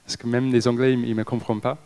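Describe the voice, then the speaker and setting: Thirties English man, native English speaker, halting stage talk into a handheld mic; smooth tone, mid pitch. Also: level tone, darkish tone. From Liverpool, England. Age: 30s. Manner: halting, frequent hesitations and restarts. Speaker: company director giving a talk. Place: stage in an event hall with a seated audience. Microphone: handheld microphone.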